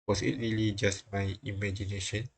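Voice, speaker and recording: Low, flat-voiced man reading haltingly. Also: vocal fry, breathy edge. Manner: halting, repeats and rereads lines. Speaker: streamer reading a visual novel. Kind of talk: live read-aloud translation of game dialogue and narration. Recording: music playing behind the voice. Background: game music.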